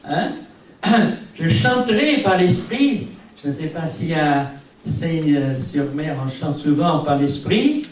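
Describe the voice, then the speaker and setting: Older preacher in an echoey hall. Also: male voice, a little high, animated, slow, distant mic. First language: English. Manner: rambling, peppered with "eh bien" and "hein". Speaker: visiting preacher. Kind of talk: sermon. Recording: very echoey hall from afar, faint hiss.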